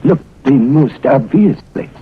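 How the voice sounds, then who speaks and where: An actor playing a wily, courteous foreign agent with formal, precise phrasing in a 1940s radio drama. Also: male voice, mid-range voice, lively intonation, unhurried, natural voice. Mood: sly, instructive. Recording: tinny, noisy vintage radio recording.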